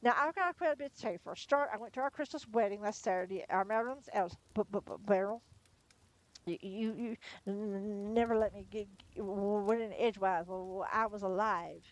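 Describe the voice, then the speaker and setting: A woman's voice: mid-range voice, normal speed, close to an everyday voice, low-quality recording. A woman doing a stammering henpecked husband. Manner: stammering, then blunt.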